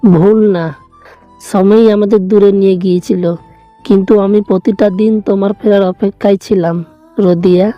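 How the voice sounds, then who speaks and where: An actor playing a mysterious, soft-spoken long-lost lover in an audio drama, slow, cryptic and intense. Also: male voice, high-pitched, fairly even intonation, muffled recording, soft background music, lightly acted. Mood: wistful. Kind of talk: audio story drama acting.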